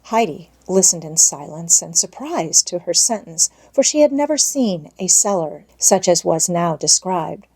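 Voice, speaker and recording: A woman's voice: on the low side, sing-song, a little slow, hardly any pauses, a little mellow, husky. A woman narrating an audiobook, reading a children's story expressively from a script.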